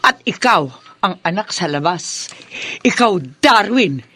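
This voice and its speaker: Scheming radio-drama mistress, menacing, taunting, bright forceful voice, lively swooping pitch, dry studio sound. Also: female voice, takes it slowly, no echo, full character voice. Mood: gloating, vindictive. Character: vengeful, taunting mistress claiming to be the lawful wife. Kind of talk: Filipino radio drama acting.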